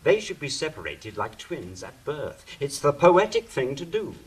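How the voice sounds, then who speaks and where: An actor playing a wry, theatrical angel who takes charge in a stage drama. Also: male voice, on the high side, very animated, normal speed. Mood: flippant, grand. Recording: faintly noisy stage recording.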